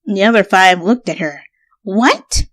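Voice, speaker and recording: A woman's voice: lowish voice, moderate pace, no room sound. A woman narrating fan fiction as a dramatic story reading.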